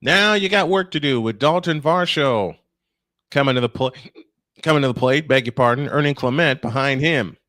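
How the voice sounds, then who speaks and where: A man's voice: medium pitch, moderate pace, a bit bright, no echo, studio quality. A man calling live baseball play-by-play in punchy, clipped phrases, mixed with casual chat. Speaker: play-by-play announcer and host.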